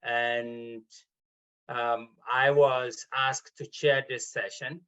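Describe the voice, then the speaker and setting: A man's voice: medium pitch, little pitch movement, extremely slow, a bit bright, clear, resonant tone, lo-fi sound. A man chairing an award session over a video call, speaking formally and politely with frequent ums and uhs. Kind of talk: formal welcome and introduction.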